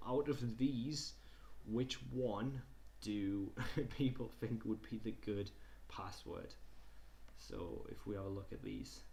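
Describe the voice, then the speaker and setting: A man tutoring in an informal online lesson, reading slides aloud and commenting in a hesitant, conversational way, often repeating himself. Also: lowish voice. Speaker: tutor presenting a digital skills session. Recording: clear background noise.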